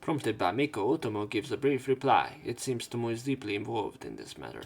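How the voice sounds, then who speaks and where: A man's voice: medium pitch, animated, brisk, vocal fry, slightly rough, soft background music. Casual young man narrating a game. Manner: casual and profane, with wry asides. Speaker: let's play narrator. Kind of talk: reading game text aloud with casual commentary.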